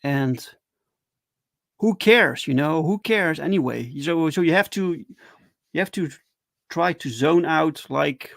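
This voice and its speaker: A man's voice: on the high side, takes it slowly, creaky, long pauses, fairly bright tone, no echo, studio quality. A man hosting a casual interview show, speaking with a slight stutter and frequent restarts. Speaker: podcast host.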